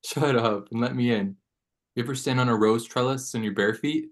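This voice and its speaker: A man's voice: medium pitch, a little fast, close to an everyday voice. Laid-back, blunt teenage boyfriend, read by a man. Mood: sheepish, impatient. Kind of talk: table read of a horror film script.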